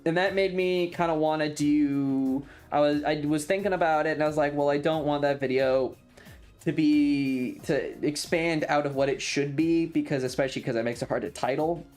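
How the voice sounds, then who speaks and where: A man's voice: on the high side, steady tone, moderate pace, a little mellow, faint music behind. A man chatting casually as a streamer, thinking aloud in an informal, sweary way. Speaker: streamer and video creator. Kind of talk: casual rambling monologue.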